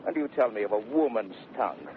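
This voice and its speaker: An actor playing a blustering, boastful young gentleman adventurer, declaiming in a 1930s radio Shakespeare play on a very tinny, noisy recording. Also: on the low side, animated, fast. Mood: boastful bravado. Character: swaggering, impudent young gentleman adventurer and fortune-seeker. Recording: very tinny vintage radio recording, faint noise.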